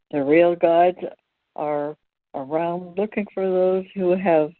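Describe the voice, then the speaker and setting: A woman with a notably low voice leads a spiritual discussion. She speaks very slowly and deliberately, phrase by phrase. The sound is thin and band-limited, like an online call. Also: dry recording, narrow, tinny audio. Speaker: presenter leading a spiritual discussion.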